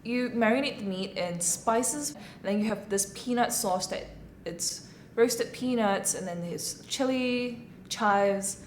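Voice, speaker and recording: A young woman from Singapore, answering interview questions in a chatty, animated way. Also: on the high side, takes it slowly. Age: young adult. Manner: chatty, animated, laughs easily. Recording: roomy echoey room, faint noise.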